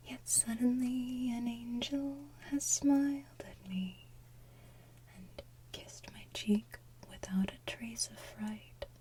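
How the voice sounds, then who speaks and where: A woman doing ASMR, singing and speaking in a soft, breathy, hushed whisper with a gentle delivery. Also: sits high. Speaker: ASMR channel host. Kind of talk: ASMR soft singing of animated film villain songs.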